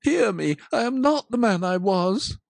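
A woman voicing a frightened old miser who pleads loudly and urgently, in a dry, close recording. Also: fairly low pitch, animated, unhurried, no room sound. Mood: desperate. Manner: loud, urgent, beseeching.

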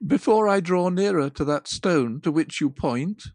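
A woman voicing a frightened old miser who pleads loudly and urgently, in a dry, close recording. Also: sits low, lively intonation, unhurried, dry recording. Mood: pleading. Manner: loud, urgent, beseeching.

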